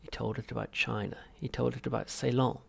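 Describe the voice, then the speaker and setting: Very gravelly male audiobook narrator. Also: medium pitch, fairly even intonation, a little fast. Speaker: audiobook reader. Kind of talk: scripted narration of a comic novel.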